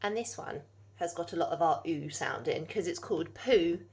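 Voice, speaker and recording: Woman reading a story with lively expression. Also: on the low side, normal speed, creaky. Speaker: teacher reading aloud. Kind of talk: animated children's story read-aloud.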